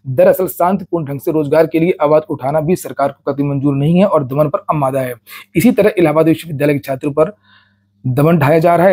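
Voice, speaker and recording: A man's voice: fairly high pitch, warm tone, husky. A man presents recruitment news, reading out notices and explaining them in a matter-of-fact way, often repeating a word as he goes.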